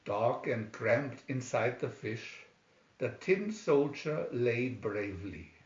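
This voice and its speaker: A man reading a fairy tale aloud as scripted narration, with a deliberate, halting delivery. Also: mid-range voice, expressive. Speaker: storybook reader. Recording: echoey room, dull lo-fi sound.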